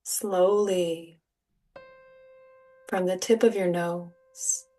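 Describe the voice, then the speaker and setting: A woman leading a guided relaxation as a yoga teacher, speaking in a soothing, gentle, unhurried manner. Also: fairly low pitch, fairly even intonation. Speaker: yoga nidra meditation guide. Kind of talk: guided meditation, soft spoken instruction. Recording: distant mic, music behind. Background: soft music or sound bowls.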